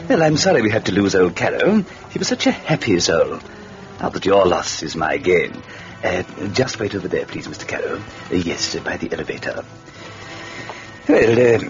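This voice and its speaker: An actor playing the Devil as a genial, sardonic storyteller, chatting wryly to listeners in hesitant asides on an old radio horror drama. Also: male voice, low-pitched. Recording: muffled old radio recording, music behind.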